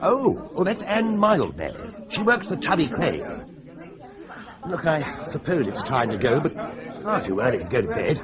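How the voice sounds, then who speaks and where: Breezy English solicitor, lively delivery. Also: male voice, fairly high pitch, measured pace, highs cut off, over a noisy crowd. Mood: teasing, breezy. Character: flippant, teasing young solicitor fond of a drink. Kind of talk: British radio drama dialogue.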